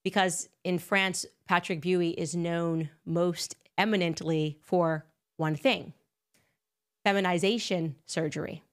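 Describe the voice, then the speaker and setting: Chatty woman host, slightly low voice. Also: fairly even intonation, slow, clean tone. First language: English. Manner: conversational and emphatic, with asides and fillers. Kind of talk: casual monologue commentary.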